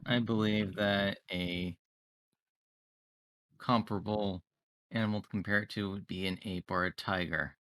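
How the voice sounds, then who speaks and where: A man playing a tabletop roleplaying game, improvising in character with formal, deliberate wording. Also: on the low side, fairly even intonation, fairly bright tone, slight background noise.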